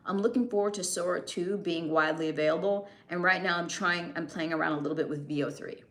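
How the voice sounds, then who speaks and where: A woman's voice: fairly low pitch, fairly even intonation, fast talker. A young American woman chatting casually on a live stream, answering viewers' comments and laughing often. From United States.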